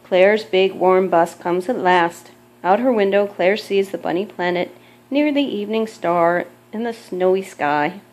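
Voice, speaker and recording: Mom reading a kids picture book out loud, medium pitch womans voice, expressive measured storytelling. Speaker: mother reading a storybook aloud. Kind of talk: children's picture book read-aloud.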